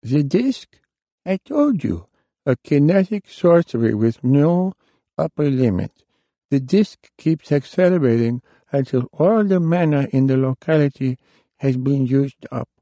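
A man's voice: mid-range voice, expressive, a little slow, dark tone, no echo, pitched above the performer's own voice. A man voicing an ancient, frail male warlock who is dying, with a thin, strained old voice, in an audiobook reading. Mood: lecturing.

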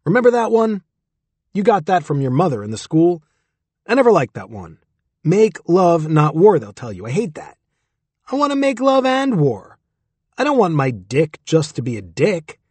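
A brash, mocking man in his thirties ranting to a crowd, voiced by a male narrator. His pitch leaps about. The voice is slightly husky and dry. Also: huge pitch swings, normal speed, darkish tone, no echo, heavily acted. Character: big brash man in his thirties, provocative stage ranter.

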